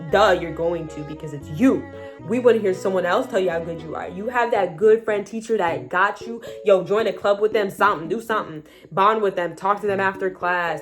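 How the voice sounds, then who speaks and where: A teenage girl giving casual high school advice in a chatty vlog, saying "like" a lot. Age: teens. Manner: chatty, heavy use of "like", animated asides. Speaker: high school student vlogger.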